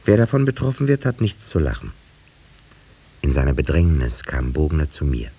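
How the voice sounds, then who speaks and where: Deep, mellow, didactic schoolteacher. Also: male voice, very animated, full character voice. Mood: wry narrating. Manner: measured, didactic. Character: rational, measured male village schoolteacher who also narrates. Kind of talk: 1950s German radio drama narration and dialogue.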